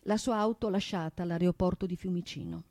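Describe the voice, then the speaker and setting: A woman narrating a dramatic theatrical monologue, emphatic, with pointed pauses and rhetorical delivery. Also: fairly low pitch, dark tone, no room sound. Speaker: stage narrator and singer. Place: radio studio.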